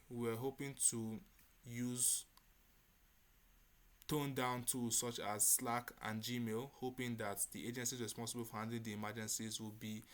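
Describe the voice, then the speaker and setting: Man, developer presenter, product demo delivery, medium pitch, little melodic variation. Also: fairly bright tone. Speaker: developer presenting a hackathon project.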